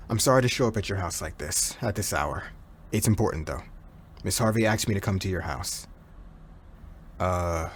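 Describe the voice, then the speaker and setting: A man acting as a calm, loyal crime boss's henchman in an audio roleplay, serious and matter-of-fact. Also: low-pitched, a little hiss or hum, natural voice. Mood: serious, pressing.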